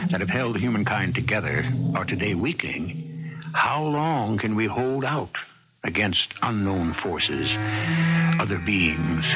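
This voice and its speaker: A dull vintage broadcast with music behind the voice. A man delivers theatrical scripted narration as host, solemn and deliberate, in a fairly low pitch. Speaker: radio drama host and narrator. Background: clear music.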